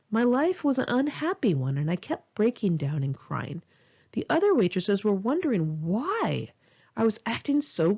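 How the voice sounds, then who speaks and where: A woman reading her own memoir as scripted audiobook narration, in a frank, emphatic and expressive manner. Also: mid-range voice, vocal fry, slightly rough, lo-fi sound.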